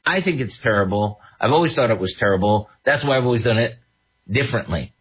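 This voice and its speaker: A man with a husky voice hosting his own radio show as an estate attorney, talking in a casual, animated way with mocking asides. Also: lowish voice, fast, lo-fi sound.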